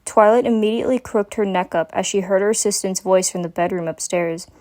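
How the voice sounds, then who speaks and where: A woman's voice: medium pitch, fairly quick, darkish tone. A woman giving a dramatic reading of a scripted story as its narrator.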